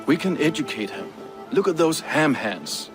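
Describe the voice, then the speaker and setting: An actor playing a brutal Viking warrior who makes gruff, darkly humorous remarks, in game audio. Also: male voice, a little high, sing-song, normal speed, music in the background. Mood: grim joking.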